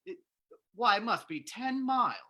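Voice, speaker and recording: A woman's voice: on the high side, animated, moderate pace, a bit bright. A woman playing a fussy, sympathetic male hotel manager with a stammering, hesitant manner in an old-time radio play reading. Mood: flustered, sympathetic.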